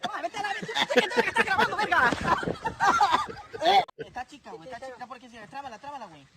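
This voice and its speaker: A Mexican man chatting crudely and excitedly in a noisy outdoor phone video, some way from the mic. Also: extremely high pitch, very fast, dry recording, recorded from a distance. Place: outdoors, open grassy field.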